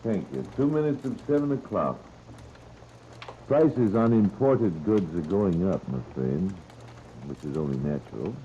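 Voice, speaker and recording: Slow-talking folksy 1930s American radio man. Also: sits low, expressive, mellow, slight background noise. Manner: unhurried, folksy, chatty. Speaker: morning radio announcer. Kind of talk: 1930s live radio announcing, informal and folksy. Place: radio studio. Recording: muffled, band-limited 1930s radio disc.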